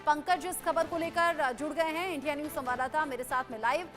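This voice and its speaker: Very high-pitched woman news anchor. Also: brisk, fairly bright tone, soft background music. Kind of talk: live TV news reporting and questioning.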